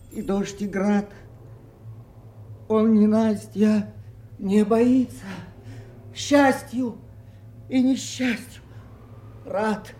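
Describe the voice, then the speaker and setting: An actor playing a wry, impish court fool, reciting rhymes in a sing-song theatrical manner for a radio drama. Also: male voice, sits high, warm tone. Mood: defiant sing-song.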